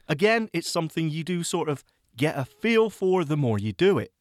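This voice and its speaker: A man hosting an audio editing tutorial, explaining steps in a chatty, self-mocking way with animated asides. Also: on the high side, no echo, studio quality. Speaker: podcast host teaching audio editing. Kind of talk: screen-reader software tutorial.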